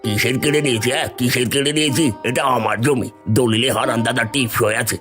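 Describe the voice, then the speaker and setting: A man's voice: mid-range voice, fairly even intonation, fast talker, fairly bright tone, faint music behind, natural voice. Man acting a sly, greedy cartoon village swindler. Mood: callous, domineering. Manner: sly, wheedling or domineering. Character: greedy scheming younger brother, a callous village swindler.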